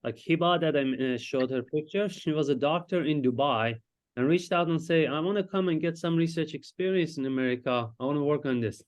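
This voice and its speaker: A man from Iran, a Farsi speaker, presenting his research as a psychiatrist in a lecture and pausing often to search for words. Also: on the high side. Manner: explanatory, searches for words with frequent hesitations.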